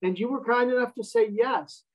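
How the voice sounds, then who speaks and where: A man's voice: very high voice, animated, normal speed, creaky, poor audio. Wry American man in his fifties. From United States. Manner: wry, self-deprecating storyteller. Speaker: guest. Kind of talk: casual interview conversation.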